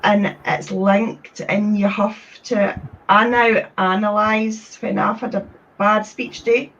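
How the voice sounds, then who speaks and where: Unhurried woman on a distant call. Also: mid-range voice, steady tone, fairly bright tone, a little hiss or hum. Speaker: guest. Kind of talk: casual interview chat. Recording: distant, dull video-call audio.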